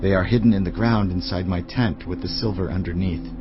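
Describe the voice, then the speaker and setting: A man's voice: low voice, fairly quick, natural voice. An actor playing a guilty, sombre Israelite man, speaking with grave remorse in a dramatized audio Bible. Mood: remorseful. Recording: slightly muffled, music behind the voice. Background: dramatic music.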